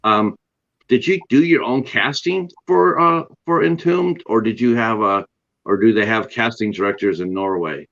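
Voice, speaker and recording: Rambling, eager man with a low voice. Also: normal speed, creaky, fairly bright tone, lo-fi sound. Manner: enthusiastic, rambling questions. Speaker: interview host. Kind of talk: casual interview chat.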